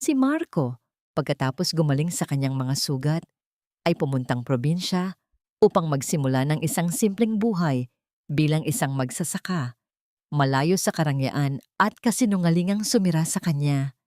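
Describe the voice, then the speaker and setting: A woman narrating a dramatic love story in a measured, emotive storytelling style. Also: low voice, expressive, warm tone, dry recording, studio quality.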